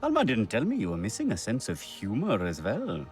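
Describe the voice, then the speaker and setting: An actor playing a gruff, sardonic resistance supply keeper in a video game, blunt and teasing with a husky voice. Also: male voice, fairly low pitch, huge pitch swings, a little fast, soft background music. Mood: wry teasing. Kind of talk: video game cutscene acting.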